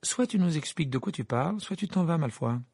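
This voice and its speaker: Man doing a clever teen witch girl, curt, reproachful, higher, husky. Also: big pitch swings, quick pace, darkish tone, dry recording, studio quality, heavily acted.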